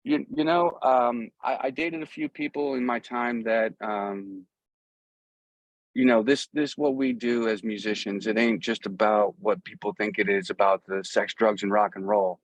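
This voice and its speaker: A middle-aged American man being interviewed as a musician, talking casually and earnestly in halting, rambling sentences with repeated phrases. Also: fairly low pitch, fairly even intonation, no echo, poor audio. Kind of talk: casual, rambling conversation about a music career.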